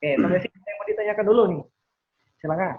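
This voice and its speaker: A man's voice: high-pitched, fast talker. Man teaching over a phone-like line. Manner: acts out imagined thoughts as examples. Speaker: NLP trainer leading a webinar. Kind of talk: interactive teaching, explaining with checks for understanding. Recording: thin phone-like webinar audio.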